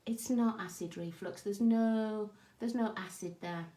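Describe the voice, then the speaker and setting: A woman with a croaky, hoarse voice from a cough, chatting casually with viewers by name as she hosts a craft live stream from her home craft room. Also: mid-range voice, a little slow, dark tone. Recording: echoey room.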